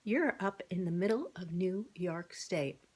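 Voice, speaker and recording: A woman's voice: on the low side, expressive, a little slow, a little mellow, husky. A brash male farm cat from a children's storybook, read aloud by a woman. He talks plainly and bluntly, with a challenging edge. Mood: incredulous.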